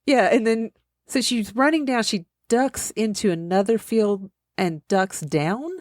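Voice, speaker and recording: A woman's voice: mid-range voice, measured pace, no echo, studio quality. A woman co-hosting a podcast, recapping a movie in casual chat, laughing often and acting out the characters' lines. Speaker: podcast co-host.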